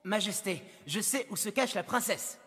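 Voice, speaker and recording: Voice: medium pitch, animated, normal speed, sharp tone, breathy edge, no echo, studio quality. An actor voicing an earnest, lovestruck young fairy-tale prince with an eager, naive manner in a children's cartoon. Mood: confident. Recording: music behind the voice.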